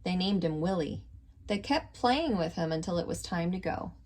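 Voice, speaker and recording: A woman reading a picture book aloud to young children in an expressive, informal way, with casual asides. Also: lowish voice, normal speed. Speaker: teacher reading aloud to kindergarteners.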